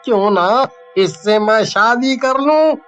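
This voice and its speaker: A man's voice: very high-pitched, slow, a bit bright, clear, resonant tone, soft background music, heavily acted. A man voicing a flirtatious, greedy village milkman with a leering drawl in a storybook tale. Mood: scheming, smitten.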